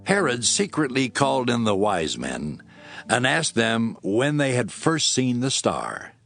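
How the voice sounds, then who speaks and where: A man's voice: mid-range voice, big pitch swings, a little slow, creaky, fairly bright tone, soft background music. A man giving scripted dramatic narration of the Bible.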